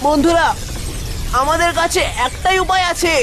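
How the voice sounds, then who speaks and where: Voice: very high-pitched, fairly even intonation, moderate pace. An actor voicing a brave young cartoon boy hero, youthful and rallying, urging friends on with determination. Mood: determined, urgent. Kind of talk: cartoon dub acting. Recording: loud music behind the voice.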